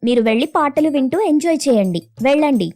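A woman's voice: high voice, lively intonation, a little fast, darkish tone, faint music behind. A woman voicing a reluctant, whiny, evasive wife sparrow in a children's cartoon. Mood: stubborn, put-upon. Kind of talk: children's cartoon story dialogue.

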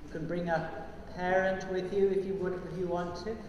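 Older priest in an echoey church. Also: male voice, sits high, little pitch movement, moderate pace, distant mic, noisy background. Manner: gentle, speaking simply to children. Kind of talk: liturgical prayer and children's address. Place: large church. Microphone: lectern mic, far from camera.

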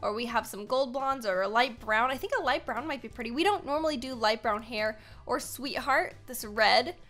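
A young American woman giving casual gaming commentary as host, chatty and expressive, given to exclaiming. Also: a little high, moderate pace, faint music behind. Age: young adult.

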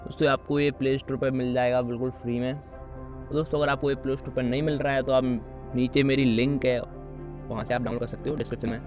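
A man's voice: mid-range voice, level tone, quick pace. A man presenting an app tutorial as a voice-over for a gaming channel. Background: background music.

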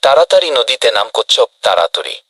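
A man voicing a helpful, brisk rabbit in a children's cartoon, on a noisy track. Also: medium pitch, sing-song. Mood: urgent.

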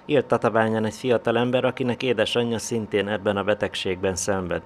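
A man's voice: lowish voice, flat delivery, a little hiss or hum. A man reading a scripted local news report as a voice-over.

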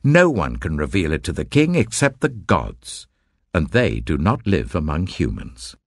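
An emphatic, protesting court astrologer of an ancient king voiced by a man narrating scripture. The low voice is gravelly and swings widely in pitch. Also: big pitch swings, unhurried, no room sound, clean studio sound, full character voice.

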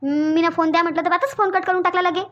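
A woman's voice: very high voice, fairly even intonation, fast talker, dull audio, slight background noise. A woman voicing a jealous, insecure young wife in a Marathi cartoon, speaking Vidarbha dialect and insistently repeating her demands. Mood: indignant, jealous. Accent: Vidarbha (Varhadi) Marathi. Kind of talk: Marathi cartoon story dialogue.

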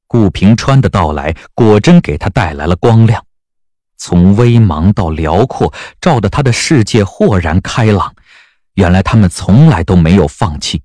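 A man's voice: sits low, expressive, moderate pace, darkish tone, dry recording, clean studio sound. A man narrating a scripted audio drama in a dramatic storytelling style. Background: background music.

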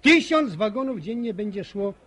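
Radio play casting: gossipy, genial villager, higher male voice, very animated, rustic and persuasive. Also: normal speed, slightly rough, full character voice. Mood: knowing. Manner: colloquial rural talk, persuasive. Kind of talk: naturalistic Polish radio drama acting.

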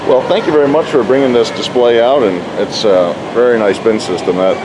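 A man hosting a casual walk-around interview at an indoor farm toy show, chatty and relaxed with many fillers, recorded on a handheld camera mic. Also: mid-range voice, a little fast. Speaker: show host filming a toy display. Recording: loud background noise in a show hall.

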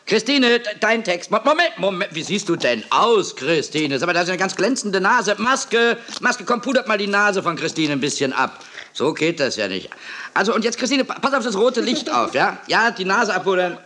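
A man's voice: high-pitched, bright, forceful tone, exaggerated voice. A man playing a fussy, scatterbrained, overbearing TV director who stammers and repeats himself in a children's audio drama. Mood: bossy, busy.

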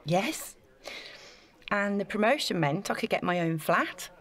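A woman's voice: mid-range voice, animated, a little slow, a bit bright, a faint crowd. An actress playing an eager, self-effacing young woman in a naturalistic audio drama, talking in a chatty, gushing way. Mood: proud, chatty.

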